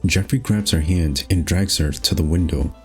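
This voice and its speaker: A man with a low voice narrates over faint music. He reads a visual novel game aloud as scripted narration. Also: normal speed, vocal fry.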